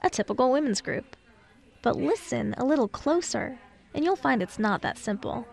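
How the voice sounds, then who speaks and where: A woman radio reporter narrating a scripted news feature in a measured, clear delivery. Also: high-pitched, expressive, darkish tone.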